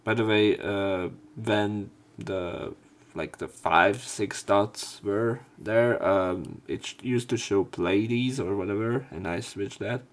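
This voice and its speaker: A man's voice: on the low side, slow, hardly any pauses. A man hosting a let's play, narrating a visual novel aloud and adding casual commentary.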